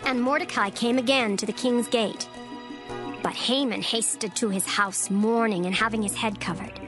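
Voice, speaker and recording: A woman narrating a dramatized scripture recording in a measured, solemn storytelling manner. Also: fairly high pitch, fairly even intonation. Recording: narration over clear background music. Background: background music.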